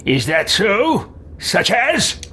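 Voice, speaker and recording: A high-voiced, haughty male wizard as a gamer plays him, cold and self-serving. The lines are curt, drawn out very slowly and swooping in pitch, as in roleplayed game dialogue. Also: wildly swooping pitch, bright, forceful tone, a little hiss or hum, full character voice.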